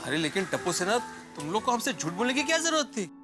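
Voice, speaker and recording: A man's voice: high-pitched, sing-song, fast, over loud music. An actor playing a bewildered neighbour man, questioning reproachfully in a television comedy-drama.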